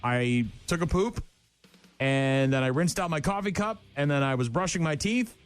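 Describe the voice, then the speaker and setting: A middle-aged man hosting a morning radio show, telling stories in blunt, joking banter that gets animated. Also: fairly high pitch, moderate pace, clean tone, faint music behind. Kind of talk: casual on-air banter.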